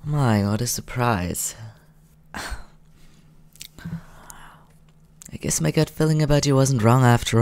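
A man playing a courteous, teasing vampire in an ASMR roleplay, soft-spoken, calm and unhurried, close to the microphone. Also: no room sound. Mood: pleased, reassuring. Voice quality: low, creaky.

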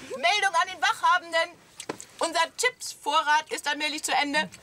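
An actress playing a gossipy, fun-loving camper wife in a German comedy audio play, chummy and joking. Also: female voice, very high-pitched, normal speed. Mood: tipsy, chatty. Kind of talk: German radio comedy acting. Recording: clear background noise.

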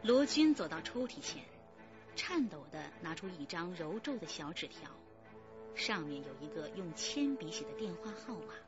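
A woman's voice: fairly low pitch, very animated, normal speed. A woman reading scripted narration for a radio crime drama. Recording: dull audio with music bed.

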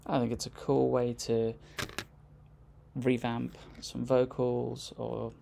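Gravelly man giving a casual software tutorial. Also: medium pitch, level tone, slow pace, background noise. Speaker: music production tutorial presenter.

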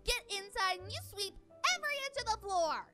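Woman acting a very high-pitched, bossy squad leader. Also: animated, brisk, loud music behind the voice. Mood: stern, scolding. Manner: stern, exasperated. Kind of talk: Minecraft roleplay acting.

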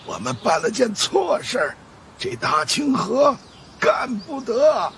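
A man voicing a weary, agitated old Taoist priest in an audiobook, with a creaky, strained voice. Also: very high-pitched, huge pitch swings, slow pace, a bit bright, slight background noise, voice pushed up, exaggerated voice. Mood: urgent, alarmed.

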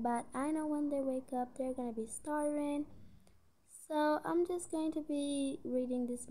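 A woman's voice: sits high, warm tone. A woman narrating a roleplay story informally, in a chatty, rambling way.

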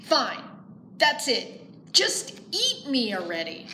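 An exasperated, sarcastic little rabbit from a picture book, voiced by a woman reading aloud. It sounds deadpan and fed up, in an echoey room with clear noise behind. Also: high voice, takes it slowly, voice pushed up, heavily acted.

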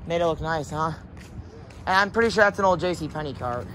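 A man's voice: fairly high pitch, a little fast, bright, forceful tone. A man hosting a casual walking vlog outdoors, chatting and thinking aloud as he films a mostly empty parking lot. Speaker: vlog host. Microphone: handheld camera while walking. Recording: outdoor recording with clear traffic noise. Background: outdoor ambience and passing cars.

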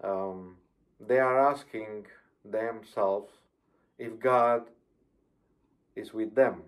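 A man's voice: on the low side, drawn-out words. A middle-aged man with a Romanian accent preaching a sermon over a video call from a plain room, careful and hesitant with frequent ums. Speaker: pastor. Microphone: webcam on video call.

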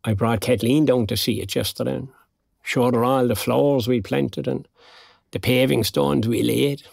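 A recently retired Irishman with a gravelly edge to his voice. He talks colloquially and with wry good humour, in a naturalistic audio drama, recorded close and dry. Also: mid-range voice, fairly even intonation, moderate pace, a little mellow, dry recording. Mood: warm, reflective. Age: older.

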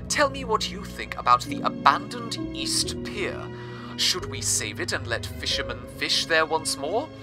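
A man acting as a showy radio presenter from an old-time broadcast, theatrical and pleased with himself. His voice sits fairly high and swings up and down with lively pitch. Soft music plays behind him. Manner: theatrical, self-congratulatory. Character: cheerful, grandiose male radio presenter in a rusting city.

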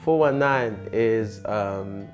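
A man's voice: lowish voice, extremely slow. A young man, a guest on a talk show, chatting casually and laughing easily, with music behind. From Zimbabwe. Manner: laid-back, laughing easily. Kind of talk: casual banter. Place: indoor living room set.

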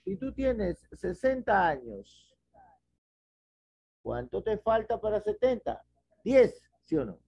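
A middle-aged man teaches an online math class, moving slowly through each step and asking his students questions. His voice rises and falls a great deal. The headset audio sounds dry and muffled. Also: high voice, very animated, creaky, warm tone, husky, dry recording. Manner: patient, explains step by step with questions. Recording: dull lo-fi video call audio.

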